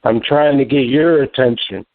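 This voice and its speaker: A man's voice: mid-range voice, normal speed, no echo. Taunting male caller on a tinny line. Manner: taunting and provocative. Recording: dry, tinny phone line.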